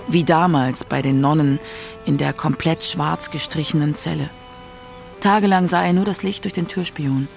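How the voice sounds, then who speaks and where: A woman's voice: low-pitched, creaky, darkish tone. A woman narrating a scripted radio documentary in a factual narrator's style.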